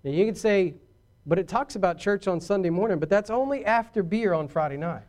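High-voiced preaching man, emphatic. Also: expressive, fairly quick, a little mellow. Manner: preachy, rising to emphatic declarations. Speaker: pastor. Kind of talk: sermon.

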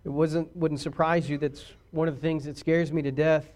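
A pastor preaching a sermon, shifting from quiet confiding asides to loud emphatic declarations. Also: male voice, a little high, level tone, normal speed.

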